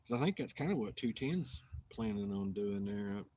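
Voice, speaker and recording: A man from Tennessee with a Southern accent, hosting a live outdoors stream in a halting, rambling, self-deprecating way with lots of restarts. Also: lowish voice, expressive, warm tone. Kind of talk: casual live-stream chat.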